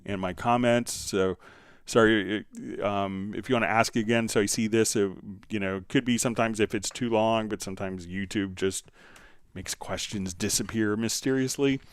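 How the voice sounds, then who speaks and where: A man hosting a casual live music software tutorial, answering viewer questions in a halting, thinking-aloud way. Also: lowish voice, fairly even intonation, clear, resonant tone, dry recording.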